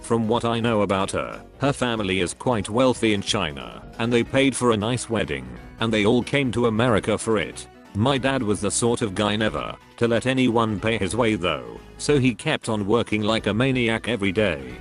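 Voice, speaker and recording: A text-to-speech male voice with a somewhat low pitch narrates forum posts in a flat, mechanical read. It spells out symbols word for word. Faint music plays quietly underneath. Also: moderate pace. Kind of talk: reading forum stories aloud.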